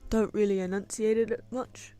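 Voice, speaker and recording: A woman's voice: mid-range voice, little pitch movement, moderate pace, warm tone, soft background music. A young woman with a New Zealand accent chatting casually while drawing on stream, rambling with lots of fillers. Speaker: art streamer and English teacher.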